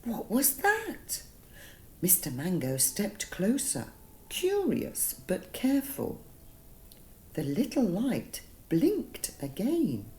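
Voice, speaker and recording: Lady reading a kids bedtime story, slow and gentle, super swoopy expressive voice. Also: lowish voice, slight background noise. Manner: soothing, deliberate, dramatic word emphasis. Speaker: children's storyteller.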